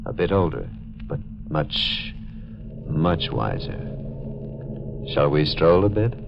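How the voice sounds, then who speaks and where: Deep, gravelly long-lost lover. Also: male voice, very animated, takes it slowly, music in the background, exaggerated voice. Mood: quietly persuasive. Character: older, wiser long-lost lover, quietly persuasive. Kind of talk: 1940s radio acting. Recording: muffled, noisy old 1940s radio recording.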